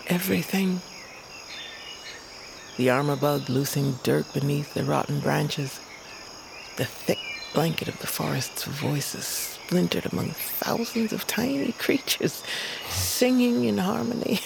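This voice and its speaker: A woman playing an old, cranky but wise grandmother in a fantasy audio drama, speaking in a measured, solemn, poetic way. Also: sits low, lively intonation, gravelly, background noise, full character voice. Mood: reverent. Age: older.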